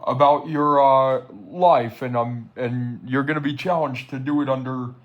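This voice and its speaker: A man conducting an informal interview, putting his questions in a halting, deliberate way. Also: mid-range voice, fairly even intonation, dull audio.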